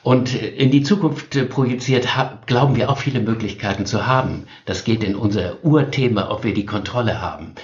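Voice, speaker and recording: A man's voice: on the low side, fairly quick, hardly any pauses, a little mellow, roomy sound, dull audio. A man from northern Germany chatting on a podcast panel, musing and teasing with many hesitations. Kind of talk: casual remote chat.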